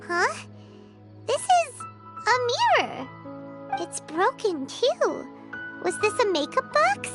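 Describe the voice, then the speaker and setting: A little excitable fairy companion from a video game. The voice is squeaky and extremely high, leaping around in pitch with every exclamation. Loud music plays underneath. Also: female voice, slow, long pauses, heavily acted. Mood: let down. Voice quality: squeaky, childlike. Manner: refers to herself in third person, exclamatory, expressive. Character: small chirpy childlike female fairy companion, excitable and nosy. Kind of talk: video game character acting.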